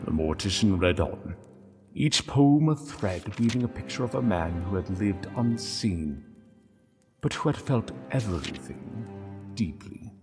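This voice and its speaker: A low-voiced man narrates a solemn story at an unhurried pace. He pauses between phrases in a measured, dramatic delivery. Long reverb surrounds the voice. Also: animated, darkish tone. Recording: long reverb, music underneath. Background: music.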